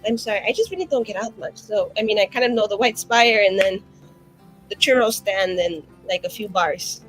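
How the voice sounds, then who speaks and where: A woman's voice: medium pitch, normal speed, a bit bright, soft background music, rough recording. A woman player speaking in character in a tabletop game, chatty and rambling with constant fillers. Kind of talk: in-character roleplay.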